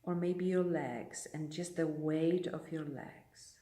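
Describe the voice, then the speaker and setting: Mellow woman guiding meditation, roomy sound. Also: deep voice, fairly even intonation. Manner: unhurried, gentle pacing with long pauses. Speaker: meditation teacher leading a session. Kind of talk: guided meditation. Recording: roomy echo, distant microphone.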